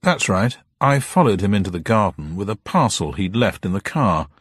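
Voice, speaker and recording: A man acting out a polite, guarded gentleman sleuth in a detective audiobook. He answers in clipped phrases with a husky tone, his pitch lifting and moving freely as he bluffs. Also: very animated, moderate pace, no room sound, clean studio sound, full character voice. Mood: guarded, bluffing. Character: amnesiac gentleman detective bluffing carefully under questioning.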